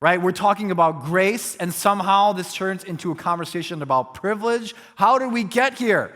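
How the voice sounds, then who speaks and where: A man's voice: high voice, bright, forceful tone, long reverb tail. A man preaching as a pastor, earnest and reflective, talking through a hard question in a conversational way. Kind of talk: sermon.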